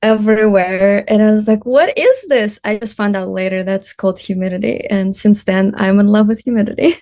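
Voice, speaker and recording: Brief: Russian-born woman, thirties, relaxed podcast guest, laughs often, loose non-native grammar. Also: medium pitch, brisk. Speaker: podcast guest, photographer and traveler. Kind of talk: casual interview chat.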